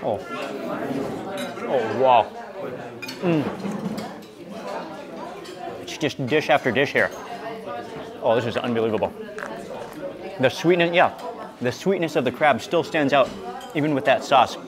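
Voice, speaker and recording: A man in his thirties excitedly narrating a seafood meal for a food vlog, savoring each bite with hums and exclamations, recorded on a small clip mic in a restaurant. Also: medium pitch, a little slow, pauses often. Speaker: food vlogger. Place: restaurant dining room and outdoor grill. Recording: busy restaurant crowd behind. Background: restaurant crowd chatter.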